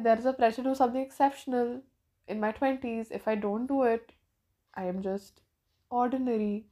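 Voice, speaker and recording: A woman's voice: on the high side, a little mellow. A nineteen-year-old woman from India hosting her own podcast, thinking aloud in a rambling, casual way with lots of fillers and restarts. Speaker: podcast host. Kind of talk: casual solo podcast monologue.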